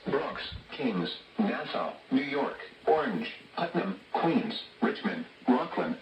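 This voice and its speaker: A man's synthetic-sounding voice reading a scripted public weather bulletin in a formal, measured announcer delivery over a radio. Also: mid-range voice, sing-song, distant mic. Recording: noisy, echoey weather radio captured from off.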